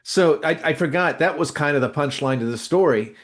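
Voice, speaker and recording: A man's voice: a little high, a little fast. A man co-hosting a casual podcast, rambling and blunt, often searching for words mid-sentence. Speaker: co-host, musician.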